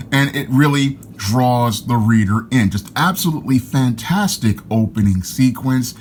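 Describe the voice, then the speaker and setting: A man's voice: mid-range voice, a little hiss or hum. Comic review guy, hyped, chatty, keeps saying again, normal middle voice. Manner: enthusiastic, repeats "again" and "your". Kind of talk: casual video review.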